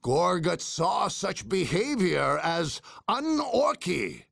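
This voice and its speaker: An actor narrating video game cutscenes as a grave, theatrical chronicler of far-future war, in a husky, gravelly voice with deliberate delivery. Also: male voice, high-pitched, sing-song, fairly bright tone, no echo, studio quality, heavily acted. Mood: solemn, dramatic.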